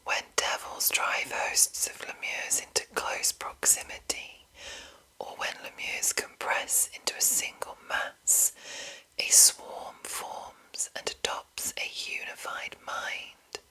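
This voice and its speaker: A woman whispering an ASMR reading in a breathy, unvoiced voice, with slow and deliberate phrasing. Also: bright, forceful tone, poor audio. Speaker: ASMR host reading a monster manual.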